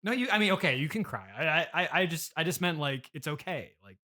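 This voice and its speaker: A man's voice: on the high side, lively intonation, quick pace, sharp tone. A man hosting a casual improvised comedy interview, asking questions in a dry, skeptical way. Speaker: podcast interviewer.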